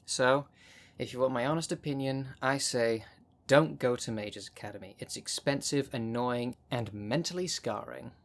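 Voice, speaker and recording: A man's voice: medium pitch, moderate pace, gravelly, natural voice. A man playing a jaded, sardonic thirty-two-year-old witch in an ASMR roleplay, talking in a dry, sarcastic, conversational way. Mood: jaded, wry. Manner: dry, sarcastic, sweary, sighing.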